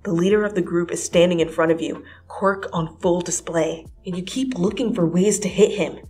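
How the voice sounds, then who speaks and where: A woman giving a dramatic second-person story reading, swinging from hushed whispers to breathless, excited rises. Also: on the low side, moderate pace. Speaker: fanfiction reader.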